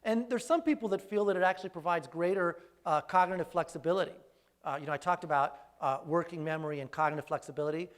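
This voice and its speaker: A man's voice: fairly high pitch, fast talker. Thoughtful, hesitant man answering questions. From raised speaking Greek first. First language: Greek. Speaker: researcher giving a talk, answering questions. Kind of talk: live Q&A after a lecture.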